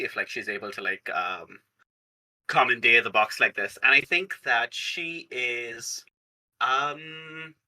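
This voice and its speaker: Very bright, sharp-voiced male tabletop player. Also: mid-range voice, low-quality recording. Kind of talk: casual chat, thinking aloud.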